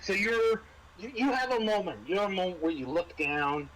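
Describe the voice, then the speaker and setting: A man's voice: high voice, lively intonation, moderate pace. A man acting as game master of a tabletop role-playing game, explaining rules and narrating the players' actions. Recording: faint background noise.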